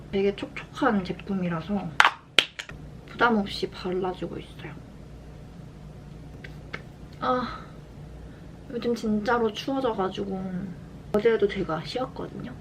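A young adult woman from South Korea chats casually as a lifestyle vlogger during her daily routine, soft-spoken and unhurried. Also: medium pitch, poor audio. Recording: clear background room noise.

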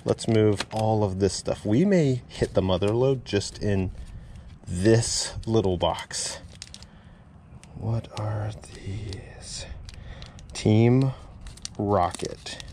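Slow-talking amazed male reseller. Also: on the low side, warm tone, a little hiss or hum. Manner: amazed, repeating exclamations. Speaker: storage unit reseller. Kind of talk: excited unboxing vlog. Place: inside a storage unit. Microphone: head-mounted action camera.

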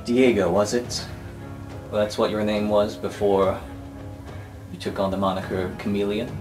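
A man voicing a calm, megalomaniacal villain headmaster in a tabletop game, smooth-talking and condescending. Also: lowish voice, moderate pace, music in the background, lightly acted. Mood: coaxing. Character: calm, megalomaniacal male supervillain academy headmaster.

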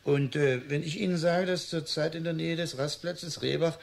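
An actor playing an officious, faintly amused police official in a German radio drama, talking down with condescension. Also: male voice, fairly high pitch, fairly even intonation, normal speed, gravelly. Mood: dismissive, amused. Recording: noisy background ambience.

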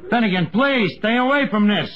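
A man's voice: sits high, expressive, fairly quick. A man playing a scheming, slangy tavern manager with a New York accent in a 1950s radio comedy. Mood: pleading. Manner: malapropisms, streetwise slangy talk. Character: scheming, bragging lovestruck tavern manager, uneducated tough guy. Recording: noisy, dull 1950s radio recording.

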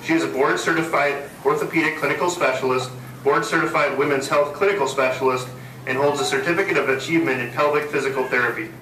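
Bright, forceful American male announcer. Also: medium pitch, steady tone, quick pace. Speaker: master of ceremonies. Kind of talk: formal scripted introduction. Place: hotel hall, at a podium on stage. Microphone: podium mic, captured by far audience camera. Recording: echoey, distant hall, faint noise.

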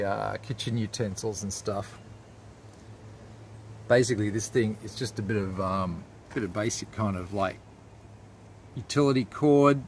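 An Australian man with a fairly low voice talks in an unscripted vlog style. He is laid-back and colloquial, chatting casually as he explains things. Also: a little hiss or hum. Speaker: vlogger.

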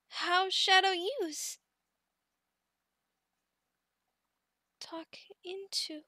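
A woman voicing a sweet, childlike, devoted adult female goblin in an ASMR roleplay, speaking in halting, excitable broken third-person sentences. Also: extremely high pitch, dry recording, studio quality, heavily acted. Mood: hopeful, eager.

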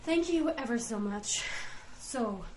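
An actress plays a scatterbrained female envoy from an afterlife office in a fantasy audio comedy. Her voice is fairly high, with a chatty, apologetic manner. Faint noise runs underneath. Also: lively intonation, slow pace, darkish tone, low-quality recording. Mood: chatty, polite. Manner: babbling, apologetic, easily sidetracked. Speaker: voice actor. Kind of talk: comic fantasy audio drama acting.

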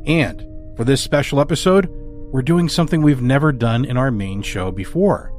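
A man hosting and narrating a scripted horror podcast in a dramatic, deliberate manner. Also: medium pitch, darkish tone. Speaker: host and story narrator. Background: music score.

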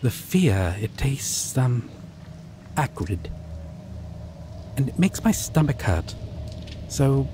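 A man voicing a shy, caring male dragon in an ASMR roleplay, talking softly and reassuringly. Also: medium pitch, sing-song, slight background noise. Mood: gentle. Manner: soft, reassuring, slow and hesitant. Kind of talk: ASMR roleplay audio drama.

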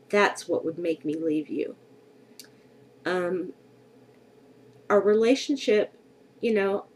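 A woman's voice: medium pitch, a little mellow. A middle-aged woman vlogging to her webcam at home, congested from a cold, speaking candidly and reflectively with many hesitations. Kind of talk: personal vlog to camera. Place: bedroom at home, indoors. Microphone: webcam at desk distance.

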